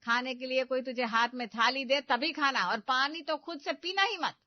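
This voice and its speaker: A woman playing the groom's middle-aged mother in an animated comedy story, exasperated, nagging and full of scheming instructions. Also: high voice, moderate pace, dry recording, close to an everyday voice. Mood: insistent. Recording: dull, lo-fi studio voice-over.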